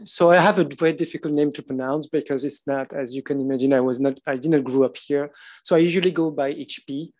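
Man, fairly high voice, from Mauritius and France, informal public comment. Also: fairly even intonation, fast, darkish tone. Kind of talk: casual, joking public comment.

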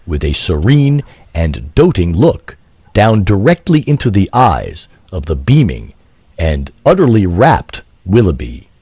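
A man's voice: sits low, very animated, a little slow, dark tone, breathy edge, dry recording. A man narrating an audio drama in a grandiose, literary, theatrical style. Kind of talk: theatrical scripted story narration.

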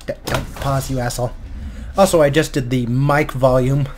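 A man's voice: medium pitch, steady tone, moderate pace, darkish tone. A young man giving casual, hesitant, sweary reaction commentary at home as a video host. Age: young adult. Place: small room at home, indoors. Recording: faint background noise.

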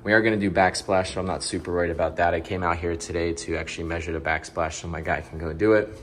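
A man's voice: low-pitched, steady tone, fast talker, fairly bright tone, slight background noise. A young man chatting casually to his viewers as he walks through an empty condo under renovation, filming himself on a handheld phone. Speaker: real estate investor vlogger. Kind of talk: casual walkthrough vlog. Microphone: phone camera held at arm's length.

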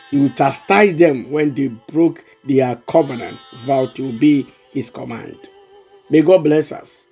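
Ghanaian pastor preaching, mellow voice. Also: male voice, a little high, slightly rough. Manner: earnest preaching, repeats words, exhorts. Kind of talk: sermon mixing Twi and English.

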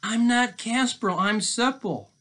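A high, bright storybook boy, put on by a man reading aloud. He pleads and protests insistently, a distressed captive trying to be believed. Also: a little slow, exaggerated voice. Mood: pleading protest. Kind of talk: children's storybook voice acting.

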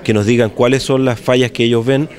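A man in his forties, an engineering consultant, lays out steps methodically indoors. His voice sits at an ordinary middle pitch for a man. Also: fairly even intonation, a little fast, a little mellow. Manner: explanatory, methodical. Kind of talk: press statement. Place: indoors in a wood-paneled room. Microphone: cluster of handheld microphones.

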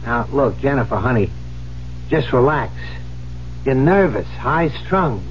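An actor in a 1970s radio drama playing an easygoing, coaxing male literary agent who talks with smooth familiarity. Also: medium pitch, animated, slow pace. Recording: muffled, noisy 1970s radio broadcast.

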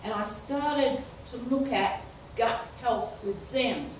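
A middle-aged woman with a slightly high voice, speaking slowly and haltingly on a panel, full of ums and restarts. She holds a handheld mic in a lecture hall, picked up from a distance with heavy room echo and faint background noise. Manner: halting, many fillers and restarts, rising into laughing exclamations. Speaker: keynote speaker. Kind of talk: panel discussion.

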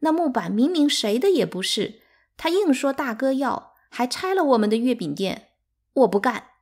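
An indignant little girl from a storybook, done by a woman reader. She protests in a plaintive, tearful way, pitched higher than plain narration. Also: big pitch swings, normal speed, a little mellow.

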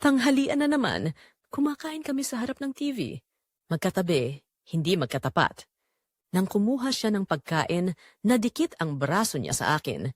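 A woman playing a guilt-torn, longing middle-aged mother-in-law, narrating an audio drama in deliberate, emotive first-person phrases. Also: mid-range voice, big pitch swings, no room sound, clean studio sound. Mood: tense, yearning.

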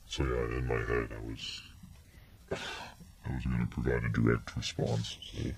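A man in his twenties rambling casually through a vlog update, halting and often trailing off, recorded on a webcam at a desk. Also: a bass voice, flat delivery, vocal fry. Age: 20s. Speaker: vlogger. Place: small bedroom indoors. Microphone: webcam at desk distance. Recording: noisy webcam audio.

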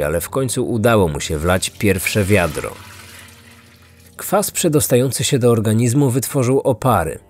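A man's voice: mid-range voice, a little mellow. Male narrator, medium register, scripted true-crime podcast delivery, light music bed underneath. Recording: music behind the voice. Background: background music.

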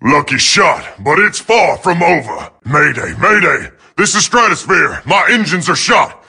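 A feisty robot transport plane in a game, high for a male voice and full of gravel. The delivery is gruff and battle-hardened, swinging widely in pitch. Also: big pitch swings, unhurried, sharp tone, heavily acted. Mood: gruff, defiant. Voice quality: gravelly, raspy. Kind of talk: video game battle voice clips.

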